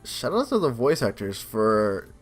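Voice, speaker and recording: A man's voice: mid-range voice, sing-song, unhurried. Casual male gamer over faint music. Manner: joking, informal asides. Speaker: let's play YouTuber. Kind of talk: casual gaming commentary, reading game narration aloud. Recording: faint game music behind.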